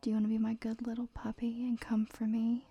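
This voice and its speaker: A young woman whispers close to the mic in a breathy, mellow voice. She talks very slowly, teasing and giving orders with little laughs in between. The sound is dry and intimate, with no room around it. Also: on the high side, completely flat delivery, dry recording, dull audio. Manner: mostly whispered; teasing and commanding, with soft laughs. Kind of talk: whispered audio roleplay.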